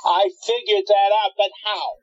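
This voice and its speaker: A man's voice: high voice, normal speed, vocal fry, lo-fi sound. A man playing an earnest, worried, loyal companion in a radio play, anxious and caring. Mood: concerned.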